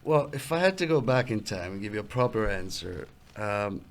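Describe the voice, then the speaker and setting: This is a young man with an Italian accent, answering interview questions about his music. His voice sits low and has a gravelly edge. He talks in a relaxed, halting way, often trailing off and restarting. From Italy. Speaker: guest musician. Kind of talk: casual interview.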